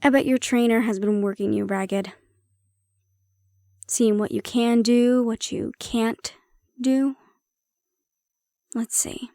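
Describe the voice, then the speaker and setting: A woman playing a prickly but secretly caring handler in an intimate fantasy audio roleplay, speaking in a wry, measured, matter-of-fact way. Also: a little high, fairly even intonation, darkish tone, no room sound. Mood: wry, blunt.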